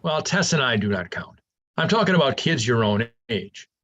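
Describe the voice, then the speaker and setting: Older male professor, played by a man: husky, very varied intonation, patient mentor with gentle wit, stage drama, slightly dull recording. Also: medium pitch, sing-song, moderate pace. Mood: wistful, amused. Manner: patient, explanatory, conversational. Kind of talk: staged reading of a science fiction play.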